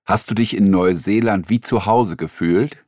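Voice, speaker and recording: A man in a studio, reading interview questions in a scripted exam dialogue in a clear, announcing manner. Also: fairly low pitch, normal speed, a little mellow, dry recording.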